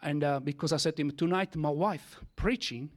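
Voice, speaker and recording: Italian-accented man giving halting testimony. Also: fairly high pitch. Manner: halting, with frequent fillers and broken English. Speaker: church speaker giving testimony. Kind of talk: testimony sermon.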